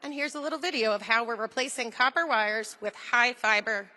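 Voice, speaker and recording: Bright-voiced woman presenting in a hall. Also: a little high, brisk. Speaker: program presenter. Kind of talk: scripted public presentation.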